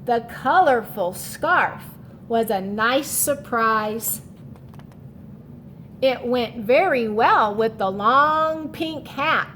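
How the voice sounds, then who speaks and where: A woman teacher giving a children's read-aloud and lesson, expressive and instructional, often asking questions. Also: fairly high pitch, drawn-out words, slight background noise. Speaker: teacher and storyteller.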